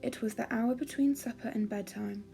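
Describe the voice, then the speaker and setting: A woman storyteller giving a calming, expressive, measured reading of a children's fairy story. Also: on the high side, vocal fry, faint music behind.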